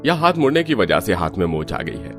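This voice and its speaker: A man voicing a matter-of-fact doctor in a cartoon drama, advising in a measured way. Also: deep voice, big pitch swings, over music. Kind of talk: Hindi cartoon family drama.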